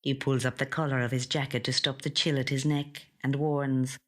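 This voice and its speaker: A woman reading a first-person literary short story in a measured, gentle storytelling manner. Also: a bass voice, little pitch movement. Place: audio studio.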